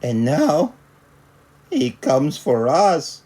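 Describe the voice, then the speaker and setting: A man voicing an earnest, dramatic little male chihuahua with emphatic, drawn-out delivery and mock-Spanish words. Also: a little high, huge pitch swings, mellow, breathy edge, exaggerated voice. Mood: earnest complaint.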